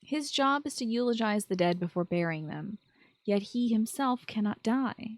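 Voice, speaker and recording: A woman's voice: fairly high pitch, lively intonation, moderate pace, no room sound. Enthusiastic woman podcaster, close dry sound. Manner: informal, enthusiastic. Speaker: podcast host. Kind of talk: chatty video game podcast commentary, partly scripted.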